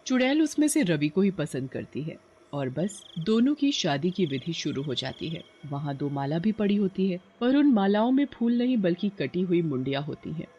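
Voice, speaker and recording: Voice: mid-range voice, big pitch swings, normal speed, dark tone, breathy edge, lo-fi sound. A narrator telling a scripted horror cartoon story.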